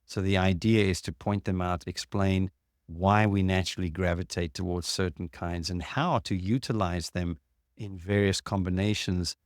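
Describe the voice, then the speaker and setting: A man with a notably deep voice hosts a casual talk, explaining ideas step by step. He pauses often on fillers. The sound is dry, with no room echo. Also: fairly even intonation. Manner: measured, explanatory, with frequent fillers. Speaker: podcast host and clinician.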